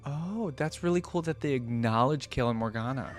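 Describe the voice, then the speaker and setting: A woman's voice: extremely low pitch, moderate pace, over music. A woman hosting a reaction video, talking in a casual, musing, conversational way. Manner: musing, conversational, often echoes lines back.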